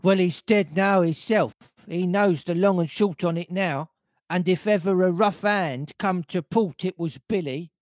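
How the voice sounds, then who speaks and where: A man plays a grumbling storybook pirate coxswain. He is defiant and growls in rough, uneducated sailor dialect. The pitch is raised and the delivery slow. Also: no echo, studio quality. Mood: admiring.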